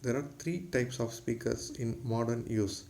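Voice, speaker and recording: Man reading a technical lecture, mellow voice. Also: mid-range voice, fairly even intonation, unhurried, creaky. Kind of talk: scripted lecture read aloud.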